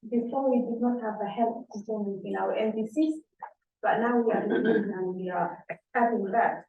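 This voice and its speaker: A woman's voice: on the high side, fairly even intonation, moderate pace, a little hiss or hum. Matter-of-fact woman speaking on a panel. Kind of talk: panel discussion answer. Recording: echoey room, distant dull mic.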